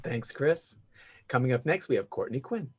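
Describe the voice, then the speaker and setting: A man's voice: mid-range voice, animated, normal speed, darkish tone, husky. Upbeat man hosting a video call. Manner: upbeat, chatty. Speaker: host. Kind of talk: moderating an online networking event.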